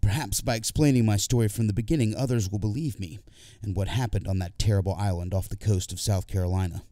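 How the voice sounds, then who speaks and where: A man's voice: lowish voice, quick pace, dark tone, no room sound. A man reading a scripted first-person literary story as an audiobook narrator.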